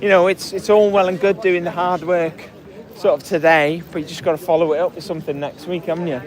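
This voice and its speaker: A man hosting a casual post-match podcast, chatting and reading out listener comments. His voice is hoarse and cracking, and he talks in a rambling way, full of fillers and restarts. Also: sits high, little pitch movement, brisk, a crowd in the background. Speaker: podcast host.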